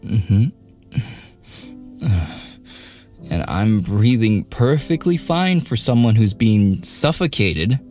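A cocky young male bully, acted by a man in a boyfriend audio roleplay, with a lowish voice and an unhurried delivery. He is sarcastic and sneering, yet easily flustered. Music plays behind him. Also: very animated, darkish tone. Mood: flustered, defiant. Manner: sarcastic, taunting, hesitant stammering under pressure. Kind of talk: boyfriend ASMR audio roleplay, close and intimate acting.

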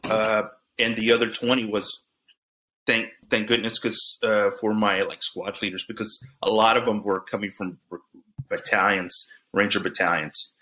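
A middle-aged man with a low, bright voice tells his stories in a loose, halting way. He restarts his sentences often and fills the gaps with fillers. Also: little pitch movement. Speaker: guest. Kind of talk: casual storytelling.

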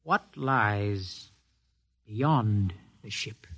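A man's voice: fairly low pitch, animated, very slow, a bit bright, husky, exaggerated voice. A man playing a defiant, impetuous young rebel who challenges others urgently in an old-time radio drama. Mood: probing. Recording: noisy old radio recording.

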